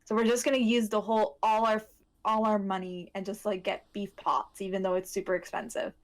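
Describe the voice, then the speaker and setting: A woman's voice: medium pitch, flat delivery, brisk. A woman co-hosting a podcast in a casual chat, rambling and interrupting herself with frequent "like". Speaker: podcast co-host.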